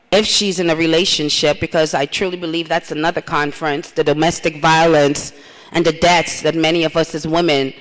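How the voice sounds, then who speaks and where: A middle-aged woman from Trinidad giving an earnest, testimonial conference speech with repeated phrases. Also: fairly low pitch. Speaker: conference speaker. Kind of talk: plenary speech. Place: large conference hall. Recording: reverberant hall.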